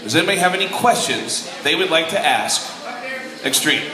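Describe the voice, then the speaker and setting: A man's voice: on the high side, expressive. Upbeat male moderator, echoey room. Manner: upbeat, quick crowd banter. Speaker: panel moderator. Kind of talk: live event hosting. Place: event hall on a cruise ship. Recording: echoey room with crowd noise.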